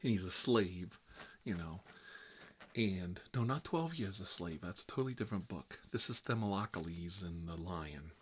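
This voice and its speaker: A low-pitched male voice plays a fussy, petty babysitter in an ASMR role-play. He rambles in a gossipy, petulant way, as if chatting to someone beside him. The recording has clear background noise with small clicks. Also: lively intonation, moderate pace. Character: Marvin, fussy, petty grown man stuck babysitting.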